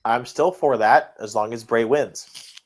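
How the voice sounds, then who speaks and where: A man's voice: medium pitch, measured pace. A man co-hosting a podcast, reacting off the cuff in casual chat. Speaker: podcast co-host.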